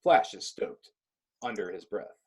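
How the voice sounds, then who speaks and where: A man with a husky voice narrating a script in a clipped, descriptive reading style. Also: fairly low pitch, expressive, a little slow.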